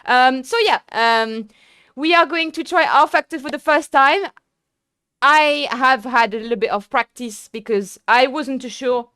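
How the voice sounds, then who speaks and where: A young woman streaming her sim racing, chatting away with viewers in an excitable, rambling way. Her voice sits a little high, and the close mic sounds dry and tight with no room around it. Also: measured pace, smooth, no room sound. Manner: chatty, excitable, lots of fillers and restarts. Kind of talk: casual live-stream chat.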